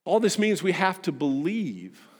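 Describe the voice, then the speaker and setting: A man delivering a sermon to a congregation as a preacher, emphatic and rhetorical, building points through repetition and pointed pauses. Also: high-pitched, sing-song. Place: large reverberant hall. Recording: long hall reverb.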